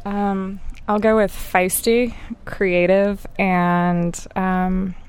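A woman's voice: mid-range voice, level tone, drawn-out words, smooth. A flustered woman contestant, laughing and hesitating with many fillers.

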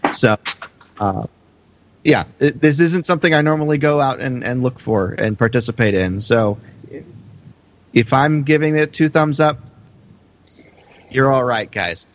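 A man co-hosting a podcast in a casual, halting way, repeating phrases for emphasis. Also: mid-range voice, animated, highs cut off. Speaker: podcast co-host.

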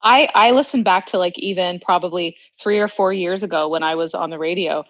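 A young woman with a low voice, joining a podcast chat with quick, self-deprecating remarks. Her audio comes through dry and a little dull, as if over a remote line. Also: a bit bright, dry recording. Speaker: radio host and podcast co-host. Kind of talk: casual chat.

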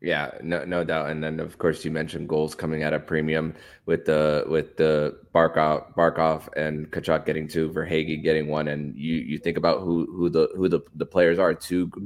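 A man hosting a casual sports podcast, speaking haltingly and repeating words as he forms his points. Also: a bass voice.

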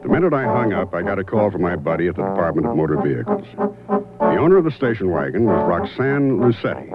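A man's voice: low-pitched, big pitch swings, fast talker. A man acting a laconic, matter-of-fact private investigator telling his case in an old-time radio drama. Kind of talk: scripted first-person narration, old-time radio drama. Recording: muffled vintage radio, loud music behind.

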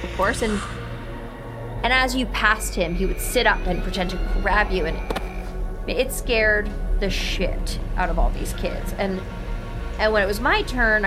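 Nervous young american woman, candid and halting, audio drama confession with music behind her. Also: mid-range voice, gravelly. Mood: halting, confessional. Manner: halting, confessional, self-conscious. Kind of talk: naturalistic audio drama monologue. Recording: music under the voice.

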